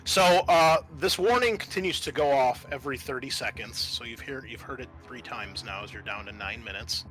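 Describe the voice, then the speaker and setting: A man with a bright tone narrating a tabletop roleplaying game as game master. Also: mid-range voice, fairly quick, soft background music, rough recording.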